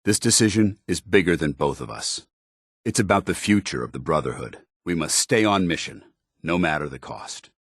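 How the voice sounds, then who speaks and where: An American actor playing a stern, disciplined armored soldier in a video game, speaking in a formal, clipped, commanding way. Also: male voice, deep voice, lively intonation, normal speed, breathy edge, no echo, clean studio sound, heavily acted. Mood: solemn, resolute. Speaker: video game companion character. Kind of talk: scripted game dialogue and combat barks.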